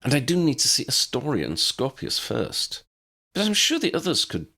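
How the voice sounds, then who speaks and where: A man reads a studious wizard in a storybook, measured and articulate. His intonation rises and falls widely around each considered phrase. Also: mid-range voice, huge pitch swings, gravelly, pushed and bright, breathy edge, pitched above the performer's own voice, full character voice. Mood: matter-of-fact.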